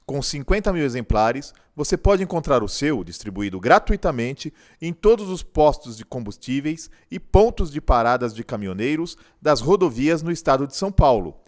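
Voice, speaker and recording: A man's voice: mid-range voice, very animated, normal speed, hardly any pauses. A man with a Brazilian accent reading a scripted advertisement as an announcer. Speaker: commercial announcer.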